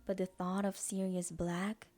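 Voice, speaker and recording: A woman's voice: fairly low pitch, level tone, measured pace, dark tone. A woman reading scripted story narration as the narrator of an audio drama.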